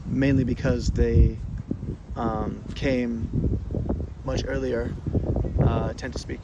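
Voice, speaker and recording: A young man from Fresno, California, answering oral history questions in a halting way, full of "um"s and restarts. His voice sits in an ordinary middle pitch. Traffic and street noise run clearly under the recording. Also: level tone. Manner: hesitant, many fillers and restarts. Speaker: interviewee.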